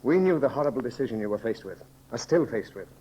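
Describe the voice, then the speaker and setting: An actor playing a grave, loyal companion and adviser, speaking formally and with measured solemnity in a 1930s radio serial. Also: male voice, mid-range voice, expressive, mellow, slightly rough. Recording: noisy 1930s radio recording.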